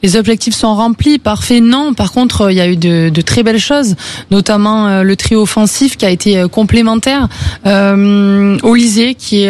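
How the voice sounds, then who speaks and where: A woman shares her take on a football match during a radio panel. Her voice is mid-pitched, and she hesitates often, filling her pauses. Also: darkish tone. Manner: hesitant, many fillers. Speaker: football commentator.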